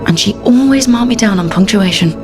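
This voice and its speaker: A woman's voice: mid-range voice, expressive, brisk, lightly acted. A woman voicing a wry, friendly young adventurer in a fantasy video game, in a composed conversational manner. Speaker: player character in a fantasy game. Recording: music behind the voice.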